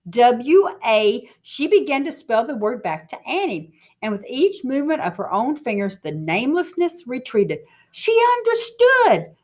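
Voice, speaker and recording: Woman reading a story aloud with lively expression. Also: high-pitched. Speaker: teacher reading aloud to pupils.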